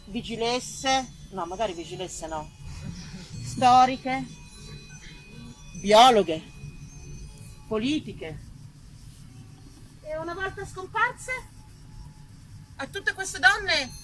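A woman from Tuscany performing a theatrical monologue as an actress and storyteller, expressive and emphatic, dwelling on single words. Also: fairly high pitch, rough recording. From Tuscany, Italy. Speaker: stage actress, writer and storyteller.

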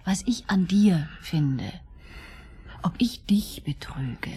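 A weary, needy wife with a wry edge in a radio drama. Her voice is very breathy and dark, slightly low, often dropping into an intimate murmur. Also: lively intonation, takes it slowly, heavily acted. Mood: wounded, reproachful. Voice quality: breathy, half-whispered.

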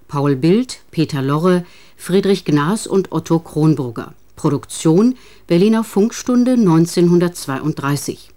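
Female radio announcer, low and dark-toned, scripted read.

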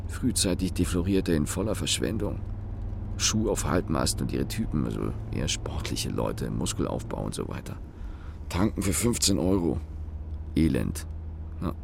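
Very deep, gravelly, bitter commuter. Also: male voice, a little hiss or hum, heavily acted. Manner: sardonic, clipped fragments, cursing. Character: bitter, cynical working man commuting by car, muttering his thoughts. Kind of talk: radio play interior monologue.

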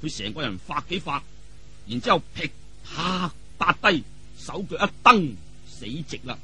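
A man's voice: on the high side, sing-song, a little slow, bright, forceful tone, husky, soft background music. A man telling a martial arts tale in Cantonese in a theatrical, colloquial traditional storytelling style, full of vivid exclamations and spoken sound effects. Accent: Hong Kong or Guangdong Cantonese.

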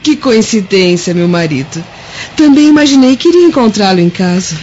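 An actress playing a jealous young Roman wife with a pointed, polite manner in a radio drama. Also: female voice, medium pitch, big pitch swings, normal speed, darkish tone, natural voice. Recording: dull lo-fi radio drama, faint noise.

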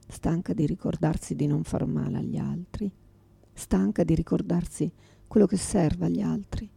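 Radio theatre monologue: exhausted, confused mother, raspy and creaky, fragmented delivery, bitter and pleading. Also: on the low side, moderate pace, mellow, no room sound, full character voice. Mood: weary, hushed sorrow. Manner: fragmented, repetitive, swearing, swinging from whispers to outbursts.

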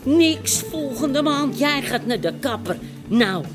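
Radio play: caring, bossy Amsterdam café barmaid, animated delivery, homely and direct, over music. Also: female voice, sits high, takes it slowly. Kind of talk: Dutch radio drama acting.

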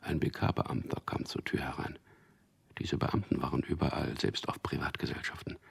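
Radio drama lead: sardonic womanizing ad man, very low creaky voice, understated and suave. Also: fairly even intonation, normal speed, in a whisper, heavily acted. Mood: detached narrating. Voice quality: gravelly, very deep. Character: sardonic charming ad copywriter and womanizer, cool, detached narrator. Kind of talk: German radio drama acting with intimate first-person narration.

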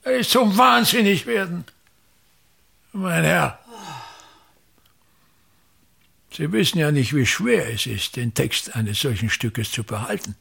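Casting: overbearing old Austrian stage impresario for radio play, husky and higher-set, grandly declaiming his grievances. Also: lively intonation, sharp tone, full character voice. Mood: exasperated. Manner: declamatory, drawn-out emphatic words, sudden swells and drops. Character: pompous, tyrannical, misogynist old actor-manager, endlessly grumbling. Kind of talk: theatrical radio drama monologue.

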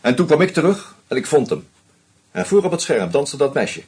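An actor playing a shrewd screenwriter who methodically unmasks a killer, with a husky voice and dry irony. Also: male voice, fairly high pitch, very animated, normal speed, a little mellow, heavily acted. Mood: accusing. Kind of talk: radio play acting.

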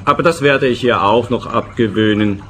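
An actor playing a flippant, spaced-out, indifferent lover in a comic radio play, airy and nonchalant. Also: male voice, medium pitch, normal speed, a bit bright, a little hiss or hum, lightly acted.

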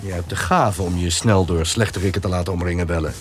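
A man's voice: sits low, fairly even intonation, quick pace, natural voice. A man acting theatrically in a Dutch radio drama as a wary, exclamatory, grumbling companion of an adventuress. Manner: exclamatory, exasperated, mimicking others. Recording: clear background noise with clicks.

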